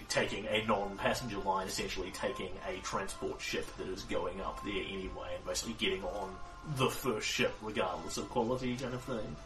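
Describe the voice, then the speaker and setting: Bright-voiced man running a game. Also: on the low side. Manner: loose, hesitant, many ums. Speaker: game master. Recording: somewhat distant mic, faint music.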